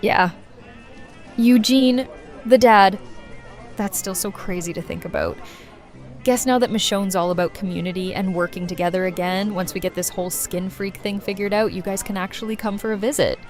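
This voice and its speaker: Mid-pitched female voice, acted brash young best friend, wisecracking, affectionate, casual audio drama, faint music. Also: fairly even intonation, normal speed, close to an everyday voice. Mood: wry, friendly. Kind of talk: audio drama acting, conversational.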